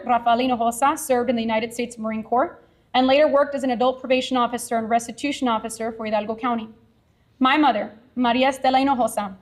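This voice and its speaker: High-voiced woman giving heartfelt speech. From Edinburg, Texas. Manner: earnest, heartfelt, measured. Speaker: science teacher accepting an award. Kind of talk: prepared acceptance speech. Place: award ceremony venue.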